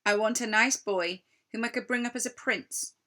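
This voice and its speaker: A woman voicing a coaxing, imperious witch queen who feigns kindness in drawn-out, sugary phrases for a storybook. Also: fairly high pitch, sharp tone. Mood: enticing.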